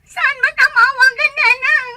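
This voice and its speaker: A woman with an Ilocano accent playing a pregnant daughter in labor, crying and pleading in a drawn-out whine in an audio drama. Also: very high voice, steady tone, sharp tone, full character voice. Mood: desperate pleading. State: crying, in pain.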